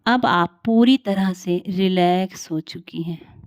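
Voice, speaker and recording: A woman leading a guided meditation in a calm, soothing, unhurried way with long pauses. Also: lowish voice, no room sound, studio quality.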